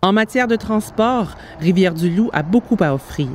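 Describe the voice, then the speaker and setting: A woman's voice: mid-range voice, lively intonation, normal speed, slight background noise. A woman reading scripted narration for a video report as its reporter. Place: voice-over recording. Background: music bed.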